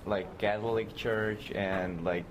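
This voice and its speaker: A teenage boy from the Czech Republic speaks with a Czech accent in a very deep, flat voice. He talks hesitantly and leans on filler words. Background noise hums underneath. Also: slow. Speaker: exchange student.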